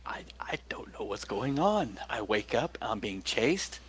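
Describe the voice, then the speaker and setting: A man's voice: lowish voice, big pitch swings, a little slow, fairly bright tone, husky, exaggerated voice. A man voicing a nervous young male student field surgeon who stammers and half-whispers, over background noise. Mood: scared.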